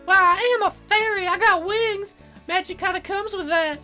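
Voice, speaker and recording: A whimsical young fairy girl , acted out by a man in a very high falsetto, bright and sing-song. She sounds chirpy and needy, a visual novel character with faint music behind. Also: moderate pace, full character voice. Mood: earnest.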